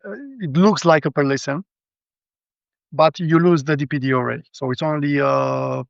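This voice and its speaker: A man with a Romanian accent speaks in a gravelly, creaky voice at a middling pitch. He gives a casual technical rundown in a halting, hesitant way. The sound is dull and lo-fi, with very little room around it. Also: steady tone, dry recording. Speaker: guest, hi-fi show visitor.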